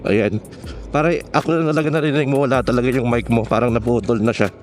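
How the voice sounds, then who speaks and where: A man's voice: mid-range voice, steady tone, fairly quick, darkish tone. Filipino guy doing casual moto vlog chat, jokey and slangy, music playing, road sounds behind. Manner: casual, joking, slangy, code-switching. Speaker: motovlogger. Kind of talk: casual chat while riding a motorcycle. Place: outdoors on a motorcycle in city traffic.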